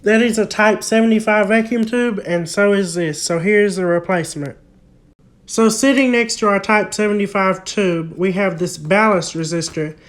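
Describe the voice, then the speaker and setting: A man's voice: sits high, moderate pace. A young man talks casually through a repair job, explaining it step by step. His voice is recorded close on a phone in a room at home. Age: young adult. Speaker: hobbyist vlogger. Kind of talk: casual hands-on repair demonstration. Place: indoor room at home, at a wooden table. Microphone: handheld phone close by.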